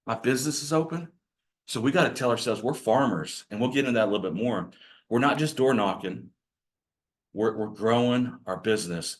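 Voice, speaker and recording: A man with a growly voice, giving a folksy, rambling training talk to a group of real estate agents. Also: mid-range voice. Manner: folksy, rambling, self-deprecating. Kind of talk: casual workshop talk to a group.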